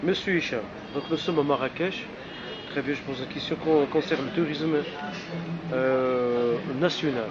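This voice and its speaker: A man's voice: medium pitch, normal speed, music in the background. A man interviewing a café manager outdoors, hesitant and full of fillers. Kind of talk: street interview. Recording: muffled street recording with traffic noise.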